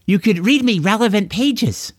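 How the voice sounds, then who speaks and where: A man's voice: sits high, lively intonation, moderate pace, dry recording, pitched above the performer's own voice. A man voicing an earnest, grieving boy in an emotional, pleading way for a children's audiobook. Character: earnest, grieving boy who talks like a young knight.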